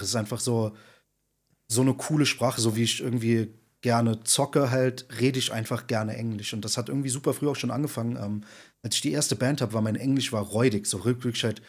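A man in his thirties, a podcast guest, talking in an informal, slangy, emphatic way with a husky voice. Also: medium pitch. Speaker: podcast guest, content creator and streamer. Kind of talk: casual interview chat. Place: indoor room, video call.

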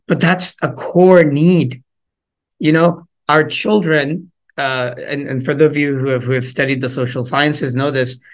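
A man's voice: medium pitch, a bit bright. A man presenting a parenting workshop over a video call, talking casually with the audience, asking questions and often repeating words as he thinks. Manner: conversational, engaging the audience with questions; frequent fillers and repeated words. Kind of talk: interactive parenting workshop talk.

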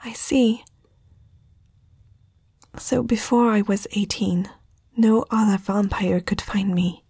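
Casting: young fantasy princess played by a woman, proud but uneasy, very breathy whisper, unhurried, intimate ASMR delivery. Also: medium pitch, steady tone, warm tone, no echo, clean studio sound, heavily acted. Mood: anxious, questioning. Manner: hesitant, slow, intimate. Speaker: ASMR roleplay performer.

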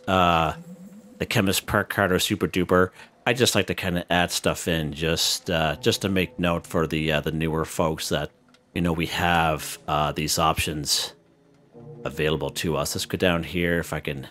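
Deep-voiced man guiding a game tutorial. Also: moderate pace, faint music behind. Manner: relaxed, walks viewers through step by step. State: losing his voice. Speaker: gaming channel host. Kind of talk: casual gameplay tutorial commentary.

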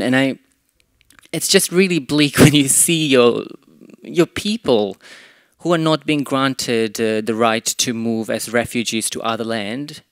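A man's voice: medium pitch, creaky, a bit bright, dry recording, clean studio sound. A young man from Fiji speaking earnestly on a conference panel into a handheld microphone. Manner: earnest, impassioned. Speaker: youth panelist. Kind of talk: panel discussion. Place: conference room with window blinds.

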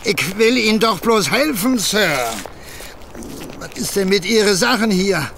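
Casting: tipsy Berlin working-class husband, good-natured boaster, slow and gravelly, for radio play. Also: male voice, sits high, a bit bright, a little hiss or hum, full character voice. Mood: concerned. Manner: colloquial, wheedling, hesitant storytelling. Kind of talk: German audio drama acting.

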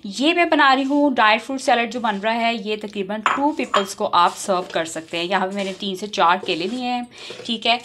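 A woman's voice: mid-range voice, pushed and bright. A woman chattily walking viewers through a recipe as a home cook, mixing in English phrases. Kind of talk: casual recipe demonstration. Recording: noticeable background noise, kitchen clatter.

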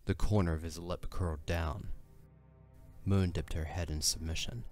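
A man giving a measured, serious narration of a scripted dramatic story. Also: sits low, steady tone, a little mellow, faint music behind.

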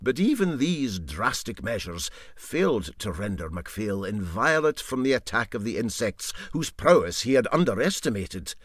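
A man with a Scottish accent reading a story aloud in an expressive narrating style. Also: fairly low pitch, normal speed, few pauses, husky, no echo, clean studio sound.

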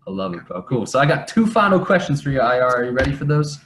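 A man's voice: mid-range voice, big pitch swings, fast talker, a bit bright. Upbeat young man on a noisy call. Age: young adult. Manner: upbeat, bro-style slangy talk. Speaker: health and business coach. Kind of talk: conversational sales call. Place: home basement room.

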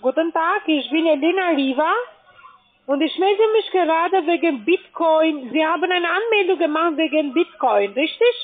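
A woman's voice: very high-pitched, lively intonation, moderate pace. A woman with a foreign accent in German, not a native speaker, making a cold sales call as a call centre agent. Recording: tinny narrow phone line.

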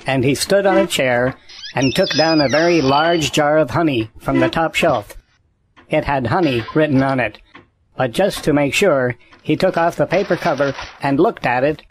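A man narrating a storybook in a measured, plain way. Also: a little high, slight background noise.

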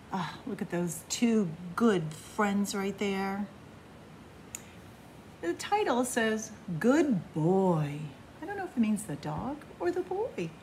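A woman's voice: mid-range voice, darkish tone, slight background noise. A woman reading a picture book aloud at story time, playfully talking straight to young children in a sing-song way. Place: public library room among bookshelves.

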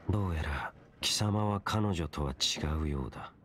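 A man voicing a cold, mysterious young wandering warrior in a Japanese game, speaking in a measured, stern, formal way. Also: deep voice, slight background noise, lightly acted. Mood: grave, measured.

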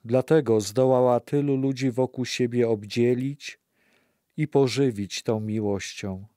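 Slow-paced, mellow male narrator. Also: medium pitch, steady tone, no room sound. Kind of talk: scripted narration for an audio play.

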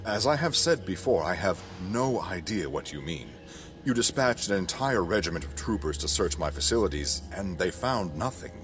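Man acting a very deep-voiced scheming imperial governor. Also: sing-song, a bit bright. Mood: deferential, guarded. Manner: formal, measured, oily courtesy. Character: scheming imperial governor, outwardly deferential, secretly mutinous. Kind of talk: sci-fi audio drama acting. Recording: film music behind the voice.

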